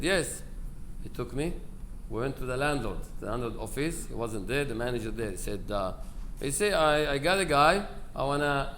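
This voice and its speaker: Guy, bit high voice, rabbi doing a chatty eulogy w/ quoted back and forth, noisy live recording. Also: a bit bright. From Aleppo, Syria. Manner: conversational storytelling, acting out quoted dialogue, broken English grammar. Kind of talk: informal memorial speech with personal anecdotes. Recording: live speech with clear background noise.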